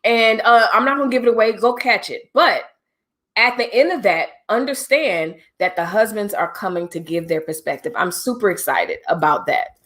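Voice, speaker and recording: A woman's voice: medium pitch, lively intonation, moderate pace, fairly bright tone. A middle-aged woman hosting a livestream, talking casually and directly to her viewers with preachy asides. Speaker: livestream host.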